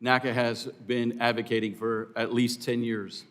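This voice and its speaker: A man's voice: mid-range voice, flat delivery, normal speed, a bit bright. A man testifying formally as a witness at a hearing, speaking earnestly and emphatically for his profession. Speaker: air traffic controllers' representative, hearing witness. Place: hearing room.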